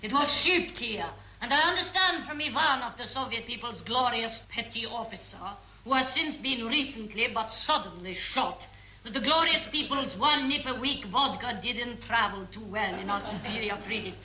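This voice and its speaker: A woman's voice: on the high side, normal speed, pushed and bright. A woman playing a pompous, blunt Soviet culture minister, in caricatured radio comedy style. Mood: haughty. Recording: echoey old radio recording, faint noise.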